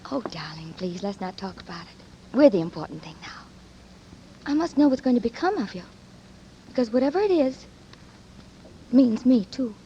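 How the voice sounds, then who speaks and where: A woman's voice: a little high, expressive, moderate pace, pauses often, warm tone. An actress playing an anxious, doting former nurse in a 1940s radio drama, cooing endearments to her man. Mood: pleading. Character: possessive tender woman, Ruth, ex-nurse. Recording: dull old radio recording with faint hiss.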